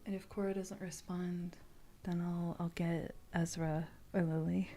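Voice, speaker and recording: Female ASMR streamer, slow and hushed with a mellow, dark tone, drifting between soft talk and whispers, playful delivery. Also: on the low side, flat delivery. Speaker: streamer reading tarot. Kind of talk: soft-spoken ASMR livestream chat, often whispered.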